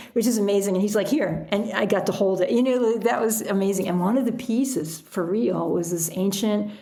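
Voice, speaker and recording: A middle-aged woman telling stories casually as an interview guest, in an animated, chatty way. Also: mid-range voice, normal speed, creaky, few pauses. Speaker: podcast guest, author and sailor.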